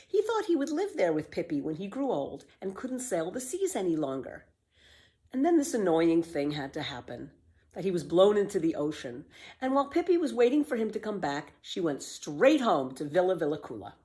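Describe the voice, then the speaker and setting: A woman reading a children's book aloud as a storyteller, with expressive, emphatic scripted narration. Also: fairly low pitch, normal speed.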